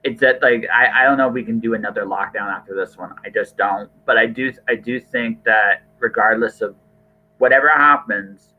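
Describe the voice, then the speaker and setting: A low-voiced man hosts a casual podcast over a thin, phone-like connection. He talks in loose, conversational runs, doubling back to repeat and reword his thoughts. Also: normal speed. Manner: hesitant, repeats and rephrases himself, hedging. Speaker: podcast host. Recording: thin, band-limited phone-like call audio.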